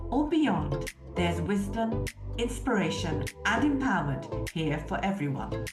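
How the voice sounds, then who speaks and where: A woman hosting a podcast, upbeat and emphatic in a motivational way. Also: lowish voice. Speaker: podcast host. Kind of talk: scripted intro then casual interview chat. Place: home, in British weather. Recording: roomy echo, a bit far from the mic, faint music.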